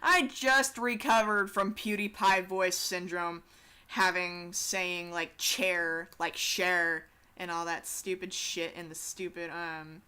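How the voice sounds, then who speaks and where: A teenage girl rambles through a drawing session at her desk, swearing casually and poking fun at herself as she goes. Her voice is bright and clean, with a crisp, smooth tone through a desktop mic. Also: on the low side, steady tone, slow pace. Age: teens. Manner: chatty, swears casually, self-deprecating asides. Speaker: artist streaming a drawing session.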